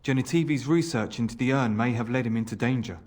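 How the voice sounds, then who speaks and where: A man playing an anxious, gloomy scholar's assistant in a video game, halting and fretful. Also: medium pitch, a little mellow, lightly acted. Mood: worried. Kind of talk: video game character acting.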